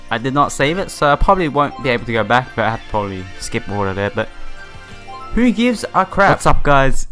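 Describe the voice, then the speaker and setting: A man's voice: medium pitch, a bit bright. A man doing casual, informal playthrough commentary, reading story text aloud and talking to his viewers. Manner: informal, addresses viewers directly. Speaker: let's play host. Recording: music playing behind the voice. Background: game music.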